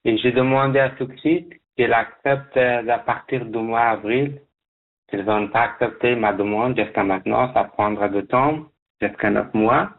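A man guesting on a radio show, telling his story haltingly with many restarts, heard over a phone line. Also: medium pitch, fairly even intonation. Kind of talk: halting personal account.

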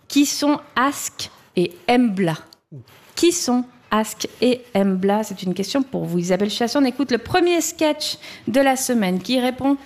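A woman's voice: fairly high pitch, animated, a little slow. A woman presenting a live radio show in a conversational style, as its host.

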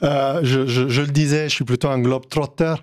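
A man's voice: mid-range voice, fairly even intonation, fairly quick. A man with an Italian accent speaking as a guest on a radio talk show, earnest and direct, with frequent fillers. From Italy. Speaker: guest, engineer and HR consultant. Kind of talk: radio discussion.

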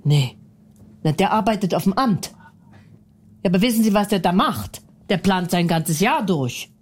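A woman's voice: medium pitch, expressive, moderate pace. A woman in a comedy sketch, talking bluntly and brashly, ranting, mocking the other person and laughing often.

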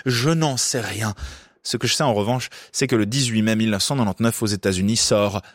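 A man from Switzerland hosting a radio music segment, telling a band's story in a lively, jokey way with frequent fillers and restarts. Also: on the low side, fairly quick, very noisy. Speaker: radio host. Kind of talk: radio music chronicle with banter.

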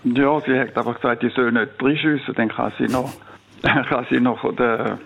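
Swiss German man, middle-aged, radio caller, gravelly and husky voice, short hesitant replies. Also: mid-range voice, steady tone, moderate pace, highs cut off. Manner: brief, hesitant answers. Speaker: quiz contestant caller.

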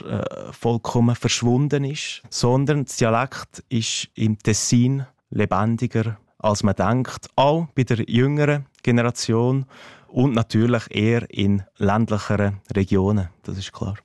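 A man's voice: mid-range voice, steady tone, moderate pace, a little mellow, no room sound. Man, a touch, hesitant and thoughtful, dry studio sound. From Ticino, Switzerland. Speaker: teacher and interview guest. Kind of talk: radio interview, relaxed explaining.

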